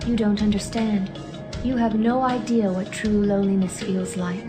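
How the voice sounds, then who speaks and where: A woman's voice: medium pitch, steady tone, moderate pace, close to an everyday voice. A woman playing a cool, teasing, mysterious young woman in an anime audio drama, dry and detached in delivery. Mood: aloof. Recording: music behind the voice.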